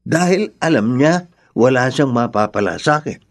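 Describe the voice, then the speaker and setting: A man's voice: mid-range voice, animated, moderate pace. A man acting in a Filipino radio drama as a cynical, resigned older brother. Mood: resigned, bitter.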